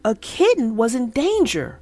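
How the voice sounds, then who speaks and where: A woman children's book narrator speaking expressively and deliberately, as if to young children. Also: on the high side, big pitch swings, over music. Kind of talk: storybook read-aloud and casual chat.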